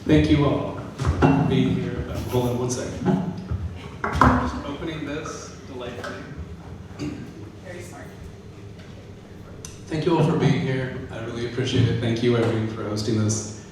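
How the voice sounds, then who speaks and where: A man's voice: mid-range voice, measured pace, long pauses. A man, a poet and professor, giving informal opening remarks and thanks at a live reading. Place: reverberant event room with an audience. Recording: very echoey room, distant mic, noisy.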